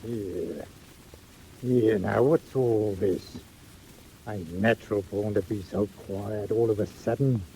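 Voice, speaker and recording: An actor playing a pompous, bad-tempered, cruel workhouse master in a 1940s audio drama, with a rough Cockney-flavoured English accent. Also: male voice, on the low side, slow pace, mellow, slight background noise, rough recording. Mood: suspicious. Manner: pompous, blustering, exclaiming.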